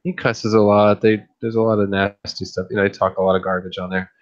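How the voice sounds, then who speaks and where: Deep-voiced man, low and flat. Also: fairly quick, highs cut off. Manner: rambling, easygoing, thinks aloud with many restarts. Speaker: fish-keeping streamer and YouTuber. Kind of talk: casual late-night chat.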